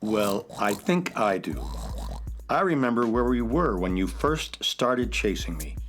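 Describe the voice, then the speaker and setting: Man as a lowish-voiced, helpful living rocket ship. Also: big pitch swings, measured pace, fairly bright tone, faint music behind. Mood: helpful, reassuring. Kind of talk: children's audio drama acting.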